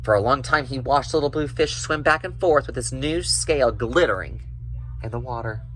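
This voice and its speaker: A man telling a children's story in an expressive storybook reading style. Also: medium pitch, normal speed, slight background noise, rough recording.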